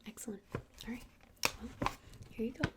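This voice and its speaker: A woman whispering breathily and softly in an unhurried, hesitant ASMR roleplay as a gentle crystal shop witch, close to the microphone. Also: fairly high pitch, fairly even intonation. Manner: hesitant, unhurried, soft-spoken. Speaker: ASMR performer playing a witch shopkeeper.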